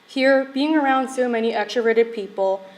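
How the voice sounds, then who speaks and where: A young woman in her late teens, a graduating student, giving a measured, reserved speech at a podium microphone. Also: on the high side. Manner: soft-spoken, reserved, measured. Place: school event hall.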